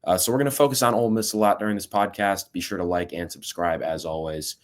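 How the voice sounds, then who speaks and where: A young man hosting a sports podcast, opening the show in a steady, lightly scripted way with a few fillers. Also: low voice, quick pace. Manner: steady, scripted-sounding host intro with some fillers. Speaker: podcast host. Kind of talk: casual sports podcast intro.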